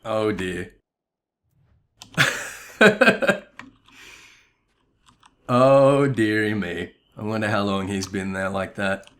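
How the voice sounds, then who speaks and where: A man's voice: on the low side, lively intonation, drawn-out words, long pauses, fairly bright tone. A man commentating casually on a game playthrough, with informal, joking asides. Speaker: gameplay commentator.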